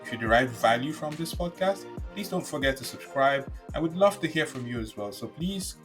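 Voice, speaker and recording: A man's voice: medium pitch, lively intonation, a little fast, fairly bright tone, soft background music. A man from Nigeria hosting a podcast, casual and agreeable, laughing easily and restarting his sentences. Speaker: podcast host. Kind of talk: casual interview chat.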